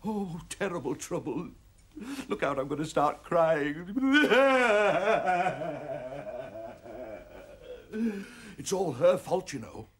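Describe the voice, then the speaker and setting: A man's voice: on the high side, animated, very slow, long pauses, a bit bright, heavily acted. A man voicing a nervous, fussy night watchman in a cartoon, fretting and shooing people away. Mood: fretful. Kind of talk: cartoon voice acting.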